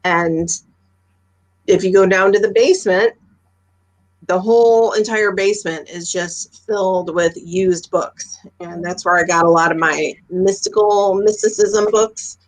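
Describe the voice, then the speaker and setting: A woman's voice: fairly low pitch, fairly even intonation, measured pace, rough recording. A woman in her fifties chatting casually, telling everyday anecdotes in a talkative way. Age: 50s. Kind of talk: casual chat.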